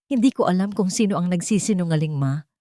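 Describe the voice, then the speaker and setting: A woman's voice: fairly low pitch, expressive, fast, mellow, no echo, lightly acted. A woman storyteller plays a wealthy man, a grown son who is earnest and defiant. The lines are firm and insistent in a radio-drama manner, recorded dry and close. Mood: shaken, resolute. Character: earnest, frustrated rich adult son, defiant.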